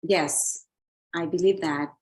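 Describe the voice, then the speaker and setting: A woman's voice: low-pitched, fairly even intonation, slow pace, a little mellow. A woman from Colombia, a relationship coach and author, speaking earnestly as an interview guest, often repeating words as she searches for them.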